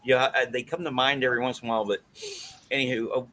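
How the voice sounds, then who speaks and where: Bright-voiced man hosting a live stream. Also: mid-range voice, fairly even intonation, fast, slight background noise. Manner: reads viewer comments, loses his train of thought. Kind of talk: casual chat.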